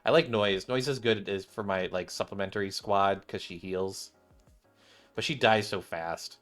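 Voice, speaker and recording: Male gamer, lower register, casual unscripted commentary that wanders as he thinks aloud, faint music underneath. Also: moderate pace, a bit bright. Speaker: gaming video creator. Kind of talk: casual off-the-cuff gameplay commentary.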